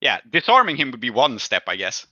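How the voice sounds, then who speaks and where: Bright-voiced man running a tabletop game. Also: mid-range voice, huge pitch swings, fairly quick, no echo, muffled recording. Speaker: game master. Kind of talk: narrating and adjudicating a tabletop game, casual.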